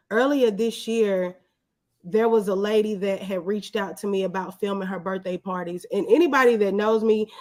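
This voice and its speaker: A woman in her thirties with a medium-pitched voice, chatting as a guest on a show. She speaks candidly and humbly, reflecting on her own experience in a casual conversational style. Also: a little mellow. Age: 30s. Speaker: guest, videographer.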